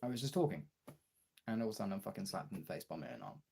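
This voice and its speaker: A man chatting candidly on a livestream in a halting, husky, gravelly voice, swearing casually, recorded on a phone. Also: sits low, very animated, warm tone, a little hiss or hum. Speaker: live streamer and voice actor. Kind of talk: casual confessional livestream chat.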